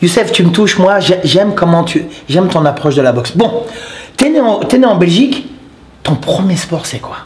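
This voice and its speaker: A man hosting a casual interview, effusive and warm in his thanks, often restarting his sentences. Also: high voice, expressive, moderate pace, reverberant. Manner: effusive, repeats thanks and compliments, many restarts. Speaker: interviewer and channel host.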